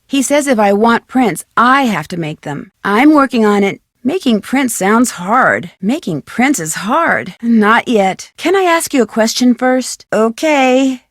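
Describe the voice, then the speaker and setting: An actress playing a curious, polite, chipper young female detective in a video game, asking things courteously and clearly. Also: a little high, no room sound, clean studio sound. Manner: inquisitive, courteous, clearly enunciated questioning. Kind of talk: scripted video game dialogue.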